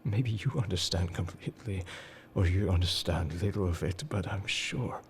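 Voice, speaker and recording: A man playing a suave, enigmatic engineer-agent in an intimate roleplay audio, breathy and halting as he confides old wounds with tender devotion. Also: deep voice. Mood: apologetic, vulnerable. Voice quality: breathy, husky, slipping into whispers. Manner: measured, confessional, halting. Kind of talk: intimate scripted roleplay audio addressed to the listener.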